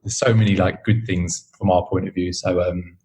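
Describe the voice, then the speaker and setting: A man's voice: deep voice, moderate pace, rough recording. A man from the UK with a British accent, talking casually and rambling a bit as an interview guest. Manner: relaxed, rambling, many fillers. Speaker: podcast host, interview guest. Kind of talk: casual chat.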